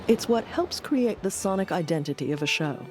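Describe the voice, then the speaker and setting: A woman's voice: lowish voice, animated, moderate pace, music in the background. A woman reading scripted narration as the host of a documentary podcast.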